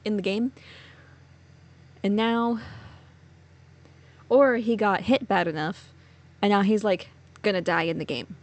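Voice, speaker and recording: A woman giving casual let's play reaction commentary, blunt, profane and sardonic. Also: medium pitch.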